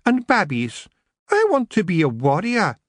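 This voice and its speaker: A man's voice: sits high, wildly swooping pitch, measured pace, dry recording, clean studio sound, voice pushed up, exaggerated voice. A man voicing a sulky young female fairy with a Scottish accent, wheedling and pouty.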